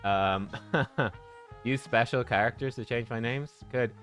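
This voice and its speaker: A man chatting casually on a live game stream, relaxed and thinking out loud as he plays. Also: mid-range voice, measured pace, faint music behind.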